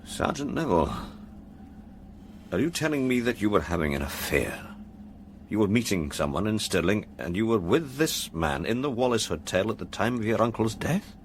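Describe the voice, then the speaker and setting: A man's voice: on the low side, very animated, moderate pace, slight background noise. An actor playing a stern senior policeman in a radio drama, questioning formally and insistently. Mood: pressing. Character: stern, probing male senior police interrogator.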